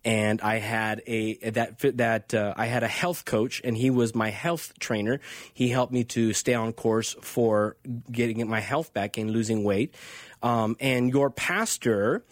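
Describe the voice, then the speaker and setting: A man's voice: medium pitch, fairly even intonation, measured pace, no echo. American man hosting radio, dry studio sound. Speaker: radio host and pastor. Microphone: close studio microphone.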